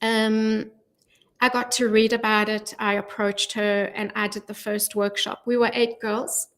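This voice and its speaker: A middle-aged woman speaking as a guest in a radio studio interview, earnest and measured, with frequent ums, on a studio microphone. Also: mid-range voice, flat delivery, fairly bright tone. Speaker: guest, charity volunteer. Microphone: studio mic with headphones.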